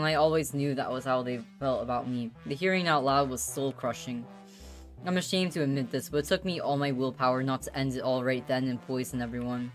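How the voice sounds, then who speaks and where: A woman reading the part of a guilt-ridden, exasperated divorced father in a visual novel, in a confessional, dramatic way. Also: rumbling low. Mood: despairing. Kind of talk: visual novel narration read aloud.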